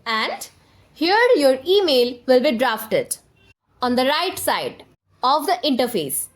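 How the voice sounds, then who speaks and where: A woman presenting a scripted software tutorial, giving step-by-step instructions straight to the viewer. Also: high-pitched, very animated, takes it slowly.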